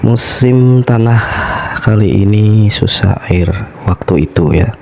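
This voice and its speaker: A man with a deep voice and a Javanese accent narrates spooky tales as a radio-style host. He takes his time, speaking slowly and sounding a little hoarse from a cold. Faint noise and ambience sit behind him. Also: a little mellow. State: slightly hoarse from a cold and cough. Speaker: host of a horror story show. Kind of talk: radio-style horror storytelling.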